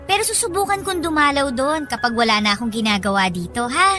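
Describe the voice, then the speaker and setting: A woman playing an anxious, gentle young mother in a Tagalog radio drama, speaking in a soothing, coaxing, emotional way. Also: sits high, fast, over loud music, close to an everyday voice. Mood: coaxing, worried.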